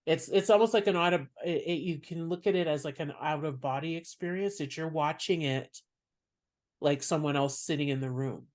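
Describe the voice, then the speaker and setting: A man from Canada with a soft voice, a stand-up comedian speaking as a podcast guest in reflective, unhurried talk. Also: on the high side. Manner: reflective, explains at length. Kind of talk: casual interview chat.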